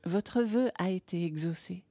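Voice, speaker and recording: A woman giving a live interpretation of a talk, phrase by phrase, in a steady, plain delivery that sometimes turns to a soft whisper. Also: on the low side, a little slow, no echo.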